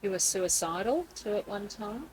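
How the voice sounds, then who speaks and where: A woman's voice: medium pitch, sing-song, normal speed, darkish tone, soft background music, rough recording. A woman hosting a podcast, interviewing guests in a conversational way. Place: couch in a room in Nakasi.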